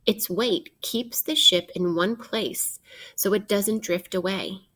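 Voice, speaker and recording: A woman teacher reading a children's nonfiction book aloud in an expressive, instructive way, addressing young listeners directly. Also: medium pitch, takes it slowly.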